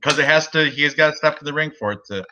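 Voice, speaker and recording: A man talks through his fight picks in loose, rambling chat and laughs often. His voice is bright and forceful. The recording sounds a bit dull and lo-fi. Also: a little high, fast. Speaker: co-host of a betting picks show. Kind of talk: casual chat.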